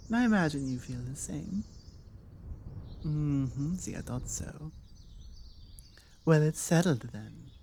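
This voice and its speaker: A woman's voice: low-pitched, slight background noise, exaggerated voice. Woman playing a flirty British vampire, birdsong behind. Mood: confident, coaxing. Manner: teasing, coaxing, with deliberate pauses. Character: forward, flirtatious female vampire, confident and playfully bossy. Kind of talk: ASMR roleplay, intimate one-sided conversation. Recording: steady outdoor ambience with birdsong. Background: birdsong and outdoor ambience.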